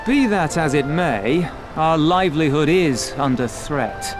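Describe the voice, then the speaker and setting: An actor playing a cynical, world-weary pirate in a video game, speaking in a wry, measured way. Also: male voice, a little high, expressive, music in the background. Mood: sardonic, resigned.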